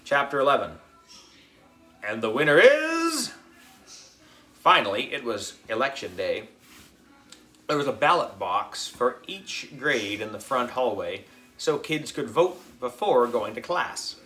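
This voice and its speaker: A man narrating a children's story as a schoolboy, in an expressive, dramatic way. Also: medium pitch, measured pace, pauses often, faint music behind. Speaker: audiobook reader, narrating as a schoolboy.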